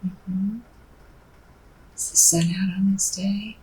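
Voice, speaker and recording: A woman working as a hypnotherapist, asking gentle guiding questions in a soft, breathy near-whisper with murmured acknowledgements. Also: mid-range voice, fairly even intonation, slow. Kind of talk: hushed, slow guided hypnosis questioning. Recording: distant mic, background noise.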